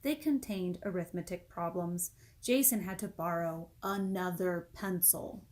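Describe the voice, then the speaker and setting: A woman reading a children's storybook aloud as an expressive narrator. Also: on the low side, unhurried. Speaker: storybook reader.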